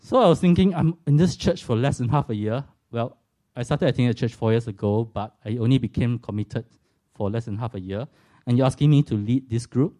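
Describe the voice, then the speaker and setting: A man's voice: medium pitch, brisk, dark tone. A man in his forties from Singapore with a Singaporean accent, giving an earnest, reflective personal faith testimony to a church audience, with touches of self-deprecating humour.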